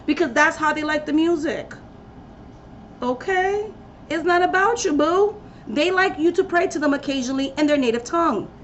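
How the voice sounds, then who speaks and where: A woman's voice: high voice, animated, moderate pace, a little hiss or hum. Impassioned woman preaching on lo-fi audio. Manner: preachy, emphatic, rallying and blunt. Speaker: spiritual practitioner giving a talk. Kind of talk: impassioned personal rant to camera.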